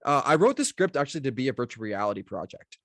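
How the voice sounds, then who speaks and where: A man speaking as a scriptwriter in a casual online discussion, explaining his work haltingly and repeating words as he goes. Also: medium pitch, sing-song, no room sound, clean studio sound. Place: online video call.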